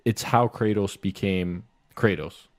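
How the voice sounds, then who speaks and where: A man's voice: sits low, a little slow. A man co-hosting a casual podcast, explaining his ideas in a rambling, conversational way. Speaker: podcast co-host. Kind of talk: casual chat about video game movies.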